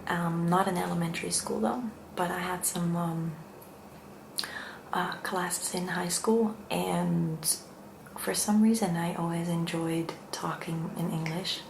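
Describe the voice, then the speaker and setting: A Dutch woman with a Dutch accent, speaking English as a second language in a gentle, hushed, soft-spoken ASMR style as she hosts. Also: on the low side, steady tone, unhurried, creaky, echoey room, noisy background. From Netherlands. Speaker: ASMR video host.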